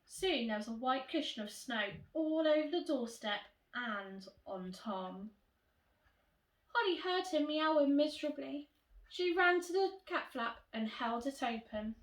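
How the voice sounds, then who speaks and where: Roomy distant recording, woman, primary school teacher, lively swooping intonation, storytelling for young children. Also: high voice, measured pace. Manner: expressive, addressing young children directly. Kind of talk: animated children's storybook reading. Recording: roomy echo, distant microphone.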